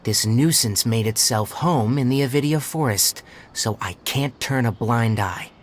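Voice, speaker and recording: A man's voice: mid-range voice, a little slow, a little hiss or hum, lightly acted. A man playing a composed, blunt young forest ranger and healer in a game, explaining things in an articulate, matter-of-fact way. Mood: focused, decisive. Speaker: forest watcher. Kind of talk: English game dub dialogue.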